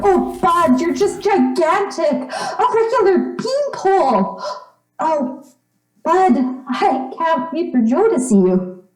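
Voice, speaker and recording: A woman's voice: extremely high pitch, sing-song, takes it slowly, full character voice. A woman playing an exuberant, gushing young girl next door in old-time radio drama style. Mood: excited, gushing. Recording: echoey room.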